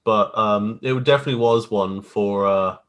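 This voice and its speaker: Low-pitched man, casual and wryly self-deprecating. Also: normal speed, fairly bright tone, clear, resonant tone. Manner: self-deprecating, wry, informal. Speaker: graphic artist guest. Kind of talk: casual interview chat.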